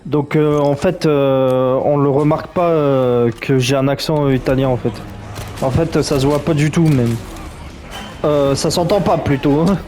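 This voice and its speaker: A man's voice: a little high, steady tone, measured pace, mellow. A man commenting on a game as he plays, in a chatty, joking, reactive way. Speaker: gaming streamer. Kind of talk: let's play commentary.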